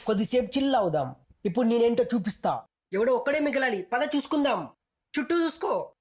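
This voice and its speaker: A woman with a Telugu accent fires off short game callouts. Her voice sits a little high and moves very quickly. She sounds slangy and bossy, teasing as she gives orders. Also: lively intonation, a little mellow, slightly rough. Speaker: game voice pack speaker.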